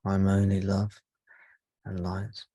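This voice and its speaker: A man speaking as a spiritual teacher leading a guided meditation, in slow, measured short phrases with pauses between them. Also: low voice, monotone, mellow, dry recording.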